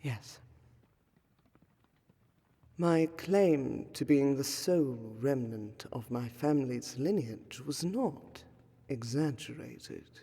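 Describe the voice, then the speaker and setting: Woman as slow, low-voiced, melancholy vampire noblewoman. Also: lively intonation, dark tone, husky, heavily acted. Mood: wistful, restrained sorrow. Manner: formal, archaic, ornate diction, measured. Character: refined, melancholy, courteous ancient vampire noblewoman. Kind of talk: fantasy roleplay audio, scripted monologue to the listener. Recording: long cavernous hall reverb.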